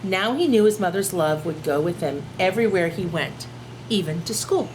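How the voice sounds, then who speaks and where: Animated woman reading a children's story. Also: lowish voice, normal speed, slight background noise, rough recording. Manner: simple explanatory phrasing for young children. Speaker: teacher reading aloud to children.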